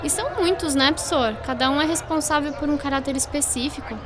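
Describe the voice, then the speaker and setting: A woman playing a bright, eager, teasing schoolgirl in a scripted educational radio drama. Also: high voice, fast talker, lightly acted. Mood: eager, curious.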